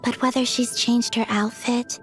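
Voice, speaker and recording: Woman as a very high, gentle, dreamy maiden. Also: level tone, normal speed, faint music behind, lightly acted. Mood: tender, curious. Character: gentle, sincere, dreamy young woman devoted to her friend. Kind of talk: scripted game character voice lines.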